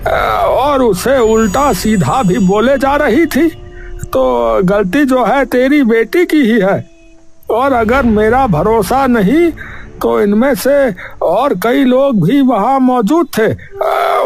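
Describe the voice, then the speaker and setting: A man's voice: extremely high pitch, vocal fry, heavily acted. A man playing an evasive, blustering village headman in a Hindi cartoon story, hemming and stalling as he talks. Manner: hemming and hesitant, with stalling filler sounds. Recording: music under the voice.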